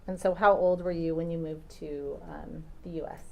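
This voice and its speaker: A woman attorney questions a witness in a courtroom. Her voice sits a little low, and she asks in a patient, measured way with small filler ums. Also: steady tone, creaky, muffled recording. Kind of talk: courtroom direct examination.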